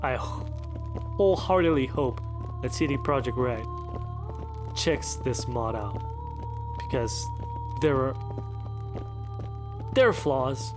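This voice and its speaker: A man's voice: medium pitch, sing-song, slow pace, pauses often. Guy doing a casual let's play, talking to himself as he goes, music and rain behind him. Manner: musing aloud, reacting to the game. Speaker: let's player. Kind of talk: casual gameplay commentary.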